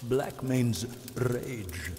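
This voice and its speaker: An actor playing a stern wolf priest in an audio drama, questioning with weighty deliberation. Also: male voice, mid-range voice, very slow, darkish tone, a little hiss or hum. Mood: probing. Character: stern probing wolf priest, confessor to warriors. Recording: long reverb with music underneath.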